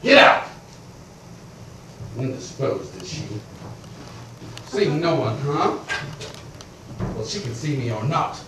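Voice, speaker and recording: An actor playing an irascible middle-aged landowner who rants and blusters, swinging between shouting and grumbling. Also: male voice, mid-range voice, sing-song, a little fast, few pauses, very echoey, distant mic, slight background noise, poor audio. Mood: defiant. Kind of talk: theatrical stage acting.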